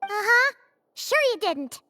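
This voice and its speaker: Squeaky, bossy little fairy companion. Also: female voice, big pitch swings, takes it slowly, pushed and bright, no room sound, clean studio sound, faint music behind, heavily acted. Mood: exasperated. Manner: squeaky, third-person speech, exasperated, emphatic. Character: small childlike fairy companion, bossy, easily exasperated. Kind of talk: video game voice acting.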